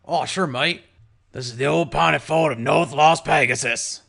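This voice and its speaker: A man's voice: fairly high pitch, moderate pace, vocal fry, fairly bright tone. A man voicing a jovial old male ghoul pony bartender, chummy and calling everyone mate. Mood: friendly. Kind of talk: audiobook character voice.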